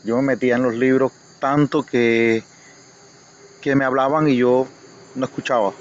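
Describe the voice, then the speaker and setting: A man from Cuba with a Cuban accent, recalling his own life haltingly and reflectively as a guest in a casual conversation. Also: mid-range voice, steady tone. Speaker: guest reader. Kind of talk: personal recollection in casual conversation. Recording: dull lo-fi audio, faint noise, insects.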